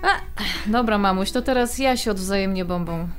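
A woman's voice: mid-range voice, normal speed, close to an everyday voice. A woman playing an outspoken adult daughter in a naturalistic audio drama, bantering with her mother in a conversational, emphatic way. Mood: recounting, wounded.

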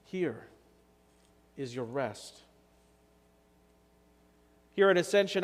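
A man in his thirties delivers a sermon in an earnest, unhurried way, leaning on pauses for emphasis. His voice sits a little high for a man. The church sanctuary around him lets every phrase ring out with a long reverb. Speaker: pastor. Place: church sanctuary, standing at the altar.

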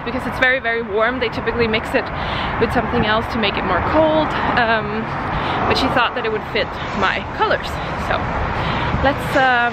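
A young woman speaking in a slightly high voice, chatting casually to a camera at arm's length. She hesitates often, filling gaps with ums and likes. Loud city traffic noise surrounds her outdoors. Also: normal speed. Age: young adult. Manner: chatty, hesitant, many fillers. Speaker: vlogger. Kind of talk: casual vlog talk to camera. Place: outdoors in a city, under a bridge. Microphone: handheld camera at arm's length.

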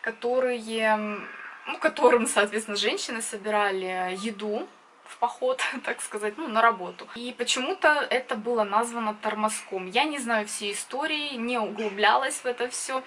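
Bright-voiced young woman with Donbass accent. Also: on the high side, normal speed, slight background noise. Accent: Donbass Russian. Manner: chatty and blunt, laughs often, gestures with hands. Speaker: vlogger. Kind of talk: casual to-camera chat. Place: small indoor room with wooden wall.

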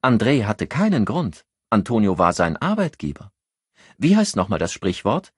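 Man voicing a cool, guarded circus woman, lifted pitch. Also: moderate pace, husky, no echo, clean studio sound, full character voice. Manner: calm, evasive, mocking.

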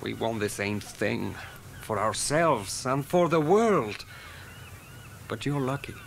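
A man's voice: mid-range voice, expressive, slow pace, creaky, a little hiss or hum. An actor voicing an earnest, idealistic male revolutionary, speaking in formal, stiff English in an early 1980s radio play.

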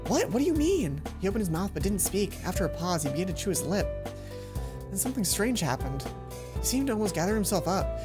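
A man's voice: high voice, expressive, fast talker. A young man hosting a let's play, reading visual novel narration aloud and adding casual commentary. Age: young adult. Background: faint game music.

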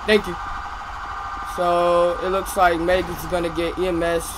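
Higher-voiced man roleplaying over noisy game audio. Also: completely flat delivery, a little slow. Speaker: roleplay gamer playing a fire officer. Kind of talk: in-character game roleplay chat.

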